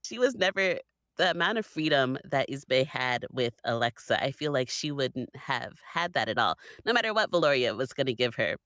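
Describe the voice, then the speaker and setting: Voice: medium pitch, big pitch swings, normal speed, a bit bright, no room sound, studio quality. A podcast guest giving opinions on a story in a conversational, opinionated way. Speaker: guest on a podcast listen-along. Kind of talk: casual discussion, giving opinions.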